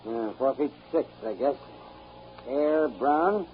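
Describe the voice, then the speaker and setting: A man playing a brisk, gruffly kindly immigration official with clipped questioning, in 1930s radio acting. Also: mid-range voice, lightly acted. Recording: dull, noisy 1939 radio recording.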